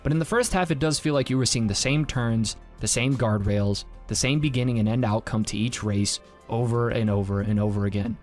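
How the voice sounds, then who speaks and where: A man's voice: medium pitch, a little fast. Man narrating a review over music. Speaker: manga review video creator. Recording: music under the voice. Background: background music.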